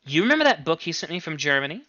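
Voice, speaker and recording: A man's voice: on the high side, sing-song, racing, bright, forceful tone, no echo, muffled recording. Man acting a cool, exasperated young woman. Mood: evenly patient. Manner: languid, clipped, patient but irritated. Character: cool, exasperated young married daughter, placating her mother.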